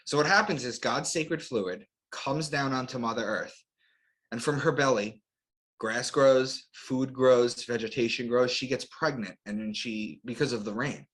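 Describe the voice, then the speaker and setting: A man's voice: mid-range voice, unhurried, fairly bright tone, poor audio. A man giving an explanatory lecture as an interview guest, in short declarative phrases with rhetorical questions. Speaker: guest author and astrotheologist.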